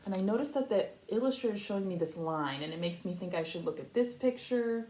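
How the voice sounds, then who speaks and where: A woman reading a picture book aloud to young children like a teacher, with expressive, emphatic phrasing and explanatory asides. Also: medium pitch, fast talker. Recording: echoey room, faint background noise.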